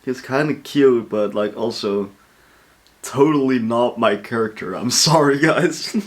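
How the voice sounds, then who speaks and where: A man's voice: mid-range voice, measured pace. A man hosting a reaction video, casual, blunt and chatty, with some swearing. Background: game music.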